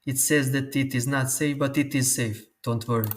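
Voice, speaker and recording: Man explaining software steps. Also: mid-range voice, fairly even intonation. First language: Croatian. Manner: slow, step-by-step instructions. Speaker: tutorial host. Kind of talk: screen-recorded software tutorial.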